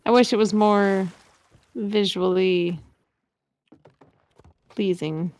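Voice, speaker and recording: A woman's voice: mid-range voice, very slow, darkish tone. A woman co-playing on a gaming stream, chatting casually in brief, offhand remarks. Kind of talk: casual chat while gaming.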